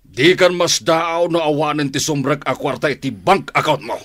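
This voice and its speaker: Stern, husky crime-boss father. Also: a little high, normal speed, fairly bright tone. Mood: scolding. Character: stern, domineering father running shady businesses. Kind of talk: radio drama acting.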